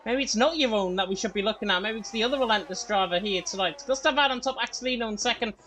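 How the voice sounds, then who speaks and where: A man calling a sim racing qualifying session, his voice a little croaky from being unwell. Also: sits high. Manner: fast, excited play-by-play. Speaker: lead commentator.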